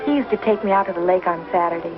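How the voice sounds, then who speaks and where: Proper young frontier schoolteacher played by an actress, muffled old soundtrack. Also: female voice, lowish voice, very fast, lightly acted. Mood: friendly, earnest. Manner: proper, precise diction. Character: firm but kindly young frontier schoolteacher woman. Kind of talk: 1950s television Western acting. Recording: muffled old 1950s TV soundtrack, loud music.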